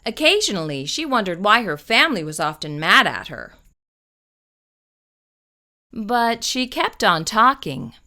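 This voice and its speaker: A woman reading a children's picture book aloud, slow and expressive. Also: medium pitch. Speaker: children's storyteller.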